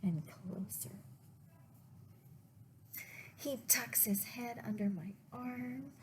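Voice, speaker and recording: A woman reading picture books aloud to children as a librarian, in a deliberate way with pauses and little asides to the listeners. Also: fairly high pitch, noisy background. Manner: slow, deliberate phrasing with pauses. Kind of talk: read-aloud storytime with asides to young listeners.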